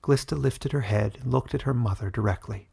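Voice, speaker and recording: A man reads dramatic fantasy fiction as its storyteller. His mid-pitched voice is husky and creaky, suited to scripted narration. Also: a little fast, darkish tone.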